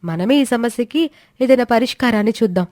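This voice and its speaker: A young daughter-in-law, voiced by a woman for a family moral tale. Her voice sits high and dry, with earnest, questioning concern and a clever, respectful manner. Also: expressive, brisk, a little mellow, no echo, natural voice.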